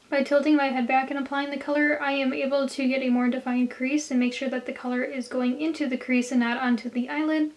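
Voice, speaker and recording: A woman with a hoarse, slightly nasal voice, chatting through a makeup tutorial for her viewers. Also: high-pitched. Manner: chatty, talks straight to viewers. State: hoarse from a cold. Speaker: beauty vlogger.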